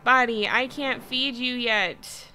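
A woman's voice: on the high side, fairly even intonation, slow pace, pushed and bright, faint music behind, low-quality recording. A woman streaming a game, chatting casually with her viewers and her game, laughing often, with a mixed American accent that slips toward British. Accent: mixed American, slightly British-tinged. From California. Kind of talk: casual game livestream chat.

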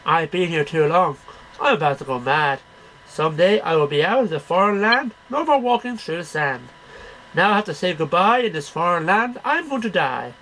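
A man's voice: on the high side, animated, moderate pace, sharp tone, a little hiss or hum, rough recording. A middle-aged man reading poems aloud in a declamatory, sing-song way, one line at a time. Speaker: amateur poetry reader. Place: small cluttered bedroom. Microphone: webcam at about arm's length.

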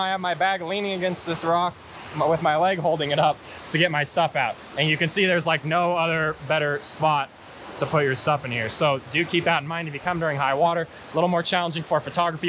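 A young American man with a fairly high, bright, forward voice explains things in an easygoing vlog style. He is outdoors on an action camera, with hiss and rushing water behind him. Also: fairly even intonation. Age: 20s. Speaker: hiking and photography guide. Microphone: action camera at arm's length.

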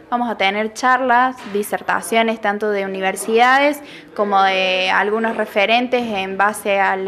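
A woman's voice: medium pitch, a bit bright. A young woman, a youth program coordinator, answering a news interview in an earnest way with many fillers and restarts, into a handheld microphone in an office room. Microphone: handheld reporter microphone.

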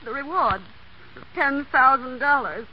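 A proud young heiress in a 1930s radio play, high-voiced, brittle and defiant over hurt. Her delivery is dramatic and sarcastic. The broadcast sounds muffled, with clear background noise. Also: animated, measured pace. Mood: hurt, resigned. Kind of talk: 1930s American radio acting.

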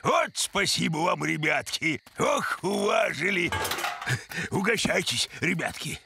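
A man's voice: high-pitched, sing-song, takes it slowly, vocal fry, pushed and bright, slight background noise, heavily acted. A man voicing a kindly, generous bear farmer in a cartoon, with folksy, drawling country speech. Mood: hearty, friendly.

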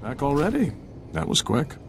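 A man's voice: mid-range voice, huge pitch swings, normal speed, a little hiss or hum. A man playing a seasoned intelligence agent in a video game, wry and matter-of-fact. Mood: pragmatic.

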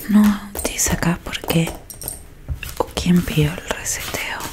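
A young woman whispering softly and breathily in a soothing ASMR roleplay, close to the microphone, repeating words. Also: on the low side, takes it slowly. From Argentina. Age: young adult. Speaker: ASMR creator. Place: small dim room indoors.

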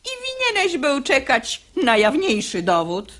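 A woman's voice: high-pitched, wildly swooping pitch, measured pace, a bit bright, exaggerated voice. An actress playing a stubborn, sanctimonious elderly mother in a radio play, preaching in theatrical verse. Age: older. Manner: sententious, theatrical.

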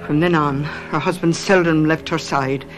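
An actress playing a loyal, outspoken housekeeper who narrates earnestly and dramatically in a 1970s radio drama. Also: female voice, low-pitched, fairly even intonation, normal speed, soft background music, lightly acted. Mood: grave storytelling. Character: loyal, outspoken housekeeper telling a tragic tale. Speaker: narrator and character.

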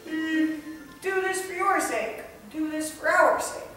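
A woman's voice: high-pitched, takes it slowly. A woman on stage playing a placid, blissful prophet who chants aphorisms. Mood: blissful, chanting. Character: patient, blissful robed prophet of collective conscience. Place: indoor theater stage. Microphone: far camera. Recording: echoey theater from far off, loud music.

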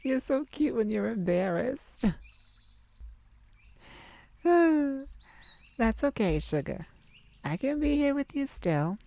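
A woman voicing a sweet, caring boyfriend in an intimate ASMR roleplay, tender, gently teasing and coaxing. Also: high voice, sing-song, takes it slowly, creaky, long pauses, heavily acted. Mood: affectionate, teasing. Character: sweet, caring young boyfriend comforting his partner.

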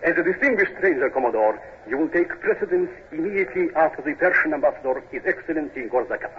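Man performing a courtly Russian diplomat, highish pitch, smooth-talking and hesitant, radio play, dull vintage recording. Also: lively intonation, fast talker, a little hiss or hum, lightly acted. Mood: gracious. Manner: unctuous, hesitant, diplomatic, chuckling. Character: suave, courtly Russian government minister, middle-aged or older. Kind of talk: 1950s radio drama acting.

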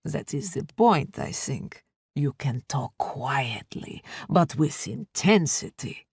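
A woman voicing a frail, doting elderly grandmother who speaks slowly, softly and coaxingly in an audiobook. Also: deep voice, animated, slightly rough, no room sound, studio quality, heavily acted. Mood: gentle. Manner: slow, soft and gently coaxing.

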